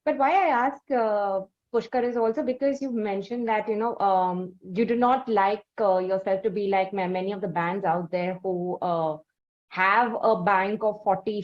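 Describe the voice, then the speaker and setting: A woman's voice: medium pitch, darkish tone. Woman host asking questions, dull lo-fi sound. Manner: hesitant, many fillers while asking. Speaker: interview host. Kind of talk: interview questions.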